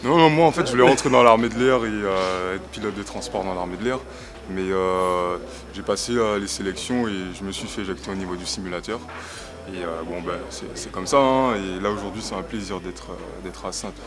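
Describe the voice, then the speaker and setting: A young man chatting casually and in a relaxed way about failing a pilot selection. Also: sits low, a little fast, a crowd in the background. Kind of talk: casual chat.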